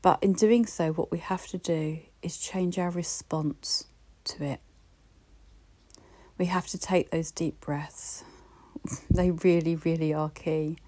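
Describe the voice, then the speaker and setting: A woman with a British accent giving a reflective solo podcast talk, gently encouraging and speaking straight to the listener. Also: low voice, steady tone, slow. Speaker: podcast host.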